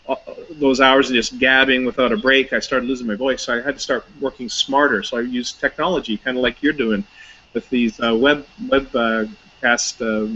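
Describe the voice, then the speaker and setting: A man's voice: mid-range voice, pushed and bright, highs cut off. A man talking casually as an interview guest, chatty and anecdotal, with many fillers and restarts. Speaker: hypnotist, guest.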